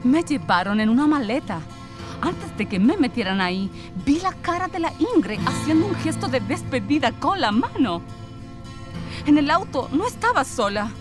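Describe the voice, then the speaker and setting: A returning exile in a radio play, speaks with earnest, dramatic weight. Her voice is high and very animated in pitch, with clear music behind it. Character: older exiled woman returning home to her lost love. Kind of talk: radio theatre acting.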